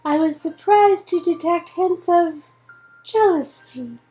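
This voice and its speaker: A woman acts out a nerdy, earnest young woman from a romance game, in a very high voice. She recites slowly and formally, awkward and halting, over faint music. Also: expressive, mellow, exaggerated voice. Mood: awkward confession.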